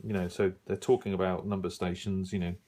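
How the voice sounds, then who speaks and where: Middle-aged man, fairly low voice, casual hobbyist commentary, unscripted, halting, thinking out loud. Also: flat delivery. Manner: musing, halting, thinking aloud while browsing. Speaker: radio hobbyist reviewing an old magazine.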